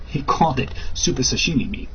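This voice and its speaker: An actor playing an excitable, hungover man showing off an app in an amateur audio drama. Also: mid-range voice, a little fast, natural voice. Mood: amused. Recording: muffled recording with faint background noise.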